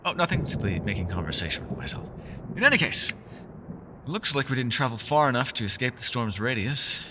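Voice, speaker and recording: Fantasy ASMR roleplay: male actor as courteous, mysterious elf scholar, theatrical and genteel, animated mid-pitched delivery over clear storm ambience. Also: a little hiss or hum, full character voice. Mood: chatty. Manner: theatrical, genteel, old-fashioned formal phrasing. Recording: rain, thunder and storm ambience behind. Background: rain and thunder sound effects.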